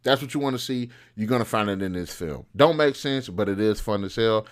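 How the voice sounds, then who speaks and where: Guy with a lowish voice reviewing movies, real informal n blunt, just giving his opinions. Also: a little fast. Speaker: host of a film review show. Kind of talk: casual opinionated film commentary.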